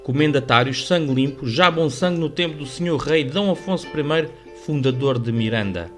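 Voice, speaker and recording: A man with a European Portuguese accent giving formal, measured, scripted literary narration for a radio drama. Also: on the high side. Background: music under the voice.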